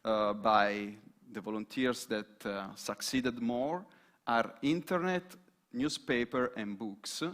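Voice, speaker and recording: A man's voice: mid-range voice, slow, a bit bright. A man presenting research results at a panel, hesitant, with many fillers and restarts. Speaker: student presenter.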